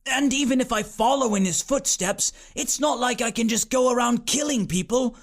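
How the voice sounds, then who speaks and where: A man's voice: very high-pitched, moderate pace, gravelly, pitched above the performer's own voice, exaggerated voice. Joshua, a man doing a polite young boy. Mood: earnest. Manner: polite, earnest, reasoning.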